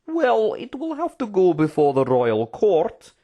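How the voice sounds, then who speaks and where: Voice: medium pitch, huge pitch swings, measured pace, dull audio, pitched above the performer's own voice. A narrator voicing a scheming fantasy courtier in an audiobook, unctuous, formal and wordy, with cutting politeness. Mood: smoothly assured. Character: scheming, smooth-tongued Trook courtier, obsequious and cutting.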